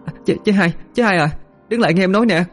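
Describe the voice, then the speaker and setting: A man's voice: high-pitched, quick pace, soft background music, voice pushed up, close to an everyday voice. A man voicing a young village woman pleading and calling after someone.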